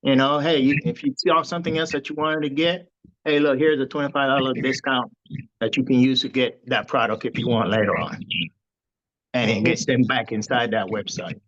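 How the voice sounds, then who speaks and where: A man on a group call casually sharing sales tips in a relaxed, rambling way. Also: mid-range voice, normal speed, lo-fi sound. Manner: relaxed, rambling, repeats words.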